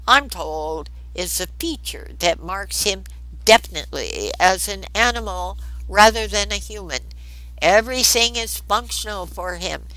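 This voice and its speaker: A pompous male intellectual as a woman narrator plays him holds forth in a storybook reading. The delivery is slow and bright, halting and theatrical as he muses and flirts. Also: mid-range voice, dry recording, rough recording. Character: rambling, musing, flirtatious man, pompous intellectual.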